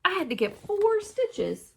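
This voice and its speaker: A woman reading a children's storybook aloud in an expressive, dramatic way, with emphatic exclamations and hushed suspense. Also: very high voice, measured pace, creaky. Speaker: storybook reader.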